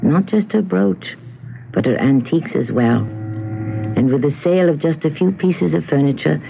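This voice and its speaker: A man hosting and narrating an old radio mystery drama in a measured, portentous way. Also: fairly high pitch, gravelly, faint music behind. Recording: thin lo-fi 1970s radio broadcast.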